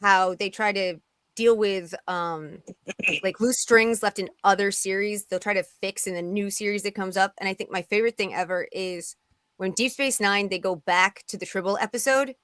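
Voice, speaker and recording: A woman's voice: medium pitch, fairly even intonation, moderate pace, fairly bright tone, clean tone, no echo. A woman taking part in a table read, chatting casually as a fan.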